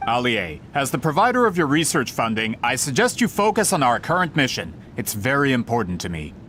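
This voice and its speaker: A man's voice: sing-song, fairly quick, fairly bright tone. An actor voicing a stern, businesslike male patron in an anime game, formal and authoritative. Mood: firm. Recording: rumbling noise behind.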